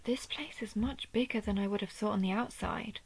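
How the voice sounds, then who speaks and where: A wounded, proud vampire huntress acted by a woman with a creaky, measured voice, the sort heard in a late-night audio drama guarding a friend outside a ruined temple. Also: medium pitch, low-quality recording. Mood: hushed awe. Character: injured female vampire hunter of vampires, protective, proud, wry. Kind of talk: scripted audio roleplay addressed to the listener.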